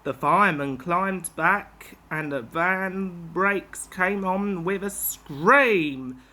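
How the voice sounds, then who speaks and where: Slow, bright storybook narrator woman. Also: on the low side. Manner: slow, expressive. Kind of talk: children's story reading.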